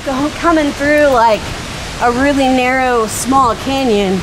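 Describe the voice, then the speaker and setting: Higher-voiced woman vlogging outdoors. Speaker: travel vlogger. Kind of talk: casual chat while hiking. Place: outdoors on a forest trail. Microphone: handheld camera. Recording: loud rushing-water hiss outdoors.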